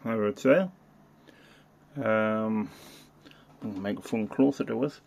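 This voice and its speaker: A man with a fairly low voice and a lot of gravelly fry talks through a hands-on demonstration. He is unscripted and casual, a hobbyist reviewer who halts and thinks aloud as he works through each feature. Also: takes it slowly, darkish tone.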